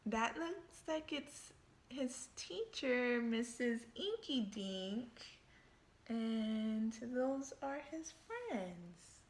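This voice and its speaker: A woman reading a children's picture book aloud expressively, thinking out loud and pausing to put questions to young listeners. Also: fairly high pitch, lively intonation, echoey room.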